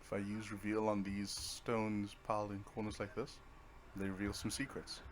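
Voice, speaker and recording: A man's voice: on the low side, monotone, moderate pace. A man giving casual, offhand commentary over his own video game playthrough, half talking to himself. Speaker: let's play host.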